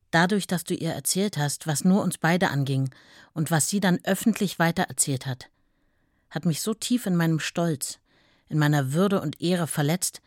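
An actress playing a proud, deeply hurt wife in a radio play, controlled and reproachful in her delivery. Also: a little mellow, dry recording, studio quality. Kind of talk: radio play letter reading.

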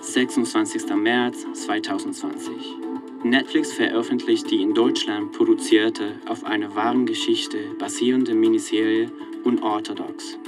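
A man's voice: lowish voice, little pitch movement, normal speed, loud music behind the voice. A man delivering scripted documentary narration in an audio play.

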